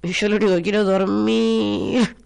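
A woman's voice: medium pitch, fairly even intonation, moderate pace, vocal fry, a little mellow, clear, resonant tone. A chatty young Argentine woman hosting a morning radio show while congested, her nose stuffed up and a cough breaking through now and then. She talks expressively and loops back to repeat her lines for emphasis. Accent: Argentine Spanish. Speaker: radio host. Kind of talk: casual morning radio chat reading listener messages. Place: radio studio.

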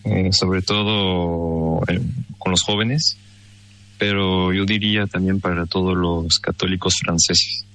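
A man's voice: low-pitched, steady tone, slow pace, a bit bright, dry recording. A Mexican man interviewed by phone, answering thoughtfully with many fillers. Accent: Mexican Spanish. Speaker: interview guest.

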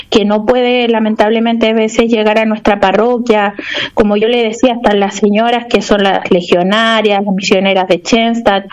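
A missionary nun with a Chilean accent, answering interview questions hesitantly with many fillers. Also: female voice, fairly high pitch, a little mellow.

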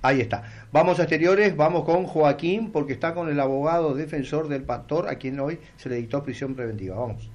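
A man's voice: fairly high pitch, brisk, hardly any pauses, darkish tone, slight background noise. An Argentine man hosting a live news programme, interviewing and presenting, with a habit of interjecting and repeating himself.

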